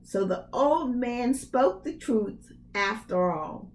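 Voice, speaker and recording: A woman's voice: a little high, expressive, slow pace, a little mellow, slight background noise. A woman reading a storybook aloud to young children expressively, with casual asides and emphatic phrasing. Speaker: preschool read-aloud storyteller.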